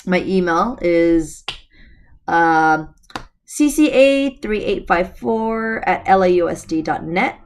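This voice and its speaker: Brief: a middle-aged teacher, a little hoarse, reading aloud on a laptop at home. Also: female voice, lowish voice, animated, slow. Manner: chatty, addresses students directly. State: slightly hoarse. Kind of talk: reading a novel aloud with asides. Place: room at home. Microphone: laptop webcam.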